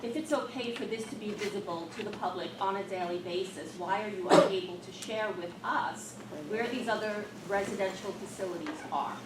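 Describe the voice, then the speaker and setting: A woman's voice: mid-range voice, brisk. A woman speaking as a resident at public comment, asking pointed, direct questions. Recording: echoey room, far from mic, noisy.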